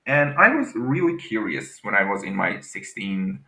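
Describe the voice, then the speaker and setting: Halting Farsi-speaking man, bright echoey voice. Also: on the low side, very animated, vocal fry. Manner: halting, repeats words, restarts often. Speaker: agnostic guest. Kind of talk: casual debate.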